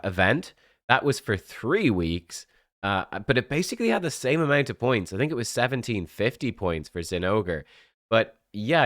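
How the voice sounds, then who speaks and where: A man with a stuffy, slightly rough voice from a cold, giving a chatty, rambling breakdown of a game event as a gaming channel host. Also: on the low side, a bit bright, dry recording, studio quality. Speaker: gaming YouTuber. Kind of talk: casual game commentary.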